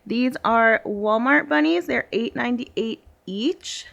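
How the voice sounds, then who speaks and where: A woman's voice: fairly high pitch, takes it slowly, vocal fry, fairly bright tone, dull audio. A woman giving a casual, chatty voice-over as a home decor vlogger, talking directly and informally to her viewers.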